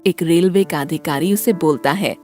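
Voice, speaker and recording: A woman narrating a scripted horror story in a dramatic, deliberate storytelling manner. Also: fairly low pitch, darkish tone, soft background music.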